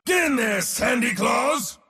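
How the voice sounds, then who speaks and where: A man's voice: very high-pitched, lively intonation, a little slow, creaky, bright, forceful tone, shouting, long reverb tail, exaggerated voice. A man voicing an arrogant, mocking war god in a video game, pompous and theatrical with a sardonic edge. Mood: boisterous. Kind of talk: video game character voice lines.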